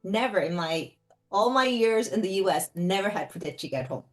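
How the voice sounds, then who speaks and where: A woman's voice: medium pitch, animated, brisk, soft background music. A middle-aged woman born in Korea, explaining in casual conversation full of fillers and restarts. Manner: rambling, many fillers and restarts. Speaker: interviewee.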